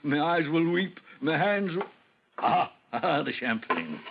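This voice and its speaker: Gushing, theatrical old French soldier, wildly swooping. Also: male voice, on the high side, very animated, slow, gravelly, full character voice. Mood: effusive, jovial. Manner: florid, exclamatory, gushing with chuckles. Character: effusive, theatrical old French soldier, gallant and slyly sinister. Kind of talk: vintage radio horror acting. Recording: muffled, noisy old radio recording.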